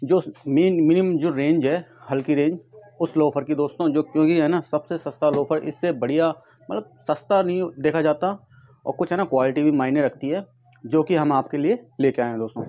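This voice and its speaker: A man casually pitching products to camera, often addressing viewers as friends and leaning on repeated verbal tics. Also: moderate pace, dark tone, muffled recording. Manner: repetitive filler phrases, addresses viewers as friends. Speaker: vlogger and shoe seller. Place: tiled room at home with stock boxes, indoors. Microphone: clip-on lapel mic. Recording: noticeable background noise, clicks.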